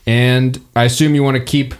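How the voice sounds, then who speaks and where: A man's voice: medium pitch, little pitch movement, moderate pace. Easygoing male game master, hesitant fillers, easy laughter. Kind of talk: narrating a tabletop game.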